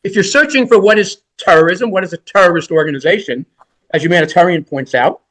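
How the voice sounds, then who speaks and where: A man's voice: sits high, fast talker, a bit bright, low-quality recording. A man in his sixties, a defense lawyer, making an oral argument in court in an emphatic, rhetorical and repetitive way.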